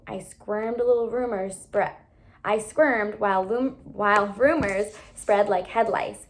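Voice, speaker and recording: A woman's voice: medium pitch, vocal fry. A woman reading a children's novel aloud expressively, acting out the dialogue as she goes. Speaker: reader, teacher reading aloud.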